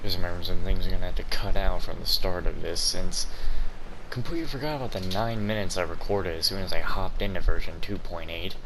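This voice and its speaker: A man's voice: sits low, brisk, noisy background. A man giving offhand, blunt commentary over a video game playthrough. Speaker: gaming video creator. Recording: game sound clearly behind voice.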